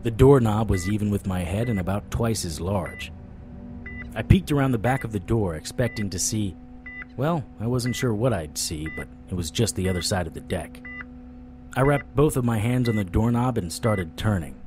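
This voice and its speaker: A man plays a supernatural private investigator telling his own story in a low voice. He is wry, deadpan and world-weary, in the noir manner of audio drama. Music plays clearly behind him. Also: normal speed, close to an everyday voice. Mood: wary, suspenseful. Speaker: narrator and lead actor. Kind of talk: noir first-person audio drama narration.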